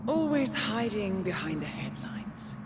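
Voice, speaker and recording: An actress playing a cold, mocking handler in a video game cutscene, taunting with theatrical, drawn-out delivery. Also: female voice, medium pitch, big pitch swings, very noisy. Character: cold mocking female handler, hallucinated tormentor of an assassin.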